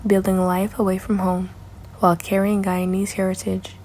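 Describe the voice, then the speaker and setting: A woman reading a scripted introduction in an even, steady delivery. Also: mid-range voice, normal speed. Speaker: narrator. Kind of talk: scripted narration.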